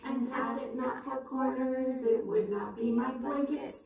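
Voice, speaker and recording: A woman leads a children's singalong in a fairly high voice, at an unhurried pace. Her delivery is simple and repetitive, suited to small children. She is picked up from a distance in a very reverberant room. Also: steady tone, gravelly, darkish tone, faint music behind. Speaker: children's storytime singer and presenter. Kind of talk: upbeat children's singalong with short spoken introductions. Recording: echoey room, distant mic, faint noise.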